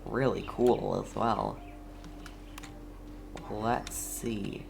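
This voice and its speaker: A game streamer with a mid-low voice chats casually at a slow pace. The delivery is playful and mock-scolding, with game music clearly behind. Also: fairly even intonation. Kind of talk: casual gameplay commentary.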